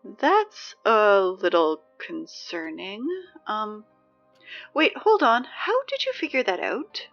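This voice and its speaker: A woman's voice: mid-range voice, sing-song, slow pace, vocal fry, highs cut off, soft background music, full character voice. A woman playing a sarcastic, exasperated teenage girl who reads school announcements in a casual, conversational audio drama style. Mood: concerned, dry. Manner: snarky, conversational, dry.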